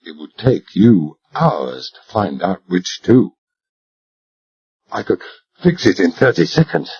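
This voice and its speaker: A man voicing a ruthless male interrogator who speaks quietly and menacingly, on a dull lo-fi recording. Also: sits low, sing-song, slow, breathy edge, heavily acted.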